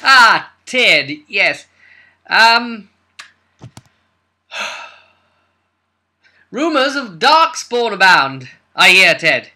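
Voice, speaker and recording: Flustered posh country squire, high strained voice, hesitant, keeps repeating himself, comedy roleplay. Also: male voice, very animated, sharp tone, full character voice. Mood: awkward, coaxing. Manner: halting, repetitive, hesitant. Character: stammering, awkwardly fond upper-class male landowner, coaxing.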